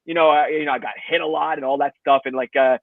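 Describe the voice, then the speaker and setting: A man talks in a relaxed, chatty way over a video call. His voice sits fairly high and comes through dry and tinny, like a phone line. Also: quick pace, creaky, no echo. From Hong Kong. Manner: relaxed, chatty, laughs easily. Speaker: guest. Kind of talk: casual video call chat.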